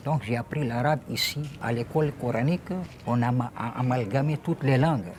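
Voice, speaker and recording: An older man from Madagascar whose first language is Gujarati, talking slowly and haltingly in a documentary interview as a merchant. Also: medium pitch, darkish tone, a little hiss or hum. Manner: slow, halting, searching for words.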